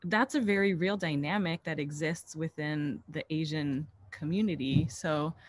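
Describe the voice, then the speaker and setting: A woman's voice: low voice, a little slow. A woman in her thirties on a video call as a panelist author, talking earnestly outdoors with many likes. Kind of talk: casual panel discussion. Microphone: webcam on video call.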